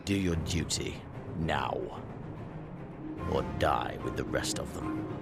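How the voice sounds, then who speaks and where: A very low-voiced, imperious prince of a conquering army, cold and contemptuous. His speech is formal game-cutscene menace, with clear music underneath. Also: slow, heavily acted. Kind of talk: dramatic video game cutscene acting.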